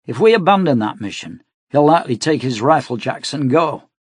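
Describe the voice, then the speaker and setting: A man's voice: medium pitch, animated, brisk, slightly rough, no room sound, voice pushed up. A man voicing a blunt woman soldier. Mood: cautioning. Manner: blunt, cautioning.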